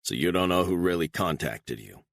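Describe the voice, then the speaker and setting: A man voicing a calm, menacing gunman who gives clipped, flat threats. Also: deep voice, normal speed, creaky, dry recording, exaggerated voice. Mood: menacing, cold.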